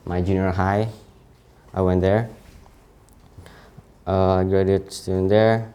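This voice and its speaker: A man's voice: deep voice, level tone, mellow. A young man in his late teens, a native Indonesian speaker with an Indonesian accent, giving an informal presentation of his CV and often stopping to correct himself. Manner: halting, frequent self-corrections.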